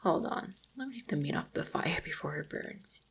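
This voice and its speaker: Casting: woman as a gentle orc huntress and devoted fiancée for fantasy roleplay audio, low-pitched with gravelly fry, soft and reassuring. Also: expressive, moderate pace, heavily acted. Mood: reassuring, tender. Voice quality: husky, creaky low voice. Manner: tender, reassuring, softly spoken. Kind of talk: roleplay audio drama, intimate monologue to the listener.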